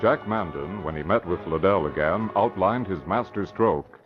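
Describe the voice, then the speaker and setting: A man's voice: sits low, moderate pace. A man narrating a 1940s radio serial in a dramatic, declamatory style. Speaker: announcer and narrator. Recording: muffled old radio recording. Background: music.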